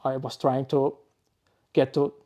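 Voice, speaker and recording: A man in his forties from Finland, a DJ guest who speaks in a halting, thoughtful way with frequent restarts. Finnish and Dutch are his first languages. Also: medium pitch, little pitch movement, dark tone. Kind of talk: podcast interview.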